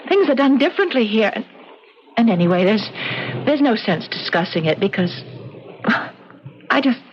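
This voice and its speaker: An actress playing a gentle, sensible young American fiancée in an old radio drama, reasoning earnestly. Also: female voice, a little high, lively intonation, moderate pace, slight background noise, natural voice. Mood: tender, reluctant. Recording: dull old radio recording.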